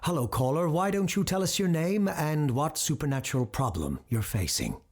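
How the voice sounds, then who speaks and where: A woman playing a grave supernatural radio host and storyteller, speaking in a measured, solemn, deliberate way in a scripted audio drama. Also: sits low, very animated, darkish tone. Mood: composed, formal. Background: music under the voice.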